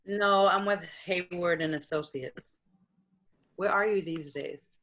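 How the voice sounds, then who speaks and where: A woman's voice: lowish voice, takes it slowly, a bit bright. A woman reading a stressed, guarded young attorney in a comedy script table read, dry and brusque. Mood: surprised.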